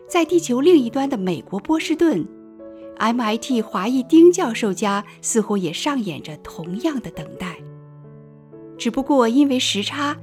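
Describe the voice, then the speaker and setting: Animated female drama narrator. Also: a little high. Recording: music under the voice.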